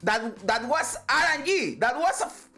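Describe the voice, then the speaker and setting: A woman's voice: fairly high pitch, sing-song, moderate pace, sharp tone. A woman from Venezuela, giving a casual motivational monologue, emphatic, swearing freely and repeating phrases. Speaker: vlogger.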